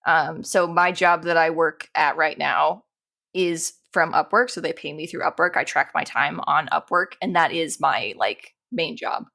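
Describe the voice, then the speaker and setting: A woman's voice: low-pitched, a little slow, creaky, pushed and bright. A woman chatting casually as an interview guest, informal and self-deprecating in the way she talks. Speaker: podcast guest, animator and dungeon master.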